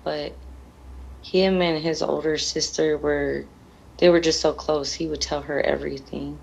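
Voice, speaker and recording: Grieving mother, interviewee. Deep female voice with fry, halting plain speech. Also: fairly even intonation, darkish tone, a little hiss or hum, poor audio. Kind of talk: emotional television interview.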